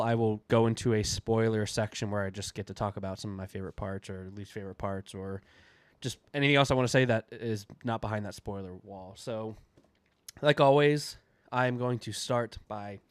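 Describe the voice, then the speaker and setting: A man's voice: medium pitch, clean tone, dry recording. A man with a cold and a stuffy, nasal voice, chatting casually as host of a book review video. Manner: chatty, loose, with fillers and restarts. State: congested from a cold.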